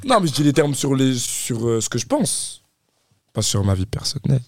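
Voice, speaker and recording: A man's voice: mid-range voice, big pitch swings, normal speed, no echo. A man guesting on a podcast, chatting casually and joking evasively. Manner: playful, evasive jokes. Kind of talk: casual chat.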